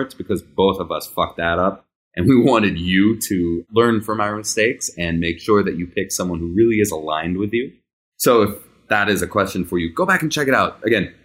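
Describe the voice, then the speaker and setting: A man's voice: on the low side, fairly bright tone. A man in his thirties whose first language is Hebrew, hosting a podcast and telling a personal story in a candid, conversational way. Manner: candid, conversational, tempo shifts between rushed and drawn out. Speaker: podcast host.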